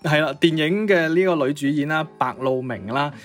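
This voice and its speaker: A man's voice: a little high, fairly quick. A man with a Cantonese accent telling music history as a radio co-host, in a conversational, piecemeal way.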